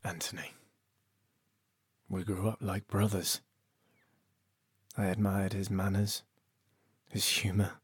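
Slow, deep, solemn exiled lord. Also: male voice, little pitch movement, breathy edge, no room sound, heavily acted. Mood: tender, wistful. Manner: solemn, theatrical, slow dramatic pauses. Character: proud exiled young lord narrating his empire's bitter history. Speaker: narrator. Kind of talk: scripted fantasy audio drama narration.

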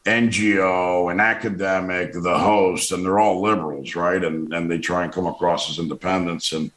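A man with a very low voice who talks loudly and forcefully, often restarting his sentences. His voice has a roomy echo around it. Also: fairly even intonation, normal speed. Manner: loud, forceful, with many restarts and fillers. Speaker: political commentator. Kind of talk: casual interview.

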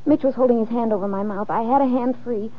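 Anxious, high-voiced woman, played by an actress, muffled vintage radio. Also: fast talker, natural voice. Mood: distressed confession. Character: anxious, earnest married woman caught in crime. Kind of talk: 1940s radio drama acting. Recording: muffled lo-fi old radio recording.

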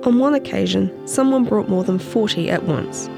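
A woman's voice: mid-range voice, normal speed, vocal fry, over music. A woman with a New Zealand accent reading a scripted piece of longform journalism as its narrator.

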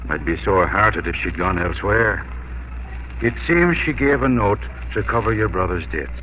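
An actor playing a kindly, formal country gentleman with a grave, courteous, old-fashioned way of speaking, in 1950s radio drama style. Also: on the low side, animated, moderate pace, close to an everyday voice. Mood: regretful. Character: kindly, formal rural gentleman bearing bad news. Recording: muffled, faintly noisy old radio broadcast.

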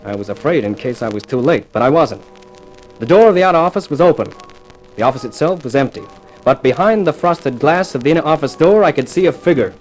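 An actor playing a cool, cocky hard-boiled sleuth in a 1950s radio crime drama, wry and taunting. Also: male voice, fairly high pitch, expressive, fast talker, a little mellow, soft background music, lightly acted. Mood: narrating, wry. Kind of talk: 1950s radio drama acting and first-person narration. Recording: noisy old 1950s radio recording.

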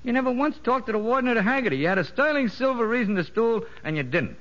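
An actor playing a shrewd, streetwise convict ringleader in a 1950s radio drama, sly and slangy. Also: male voice, extremely high pitch, lively intonation, fast talker, fairly bright tone. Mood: sly, confiding. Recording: echoey, dull vintage radio recording.

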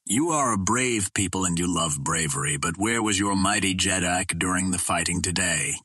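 A man's voice: low-pitched, moderate pace, sharp tone, husky, dry recording, clean studio sound, full character voice. A man voicing a bold human captain in an audiobook, making a defiant, challenging speech like an orator. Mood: defiant, rousing.

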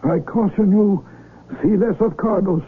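A man acting in a 1970s radio drama as a gentle, solemn village elder and father, speaking in a measured, kindly, grave way. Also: sits high, breathy edge. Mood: fatherly, cautioning. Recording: muffled vintage AM radio recording.

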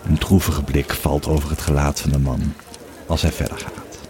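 A man narrating a scripted story in a measured storytelling manner. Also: very deep voice, flat delivery, background noise.

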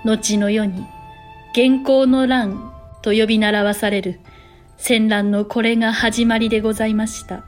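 A woman playing a refined noblewoman who narrates history in a period drama, formal, polite and measured. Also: fairly high pitch, level tone, soft background music, natural voice. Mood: composed.

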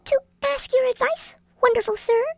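Little male mouse, extremely high and squeaky, timid, pleading, meek, storybook voice, dry sound. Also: fairly even intonation, moderate pace, gravelly, dry recording. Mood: humble. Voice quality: tiny squeaky voice. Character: timid little male mouse, frightened, humble and self-pitying. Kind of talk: storybook character voice.

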